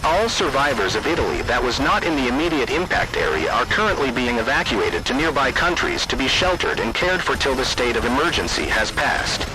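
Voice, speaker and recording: A man reading scripted emergency alert announcements in a formal, deliberate manner. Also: mid-range voice, very animated, low-quality recording. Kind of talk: scripted emergency broadcast narration.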